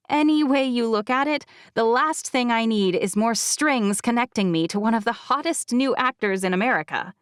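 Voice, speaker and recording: Woman reading an audiobook novel, wry and expressive, pitch moves around a lot, really dry recording. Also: no room sound. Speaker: audiobook narrator. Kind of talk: first-person scripted fiction narration.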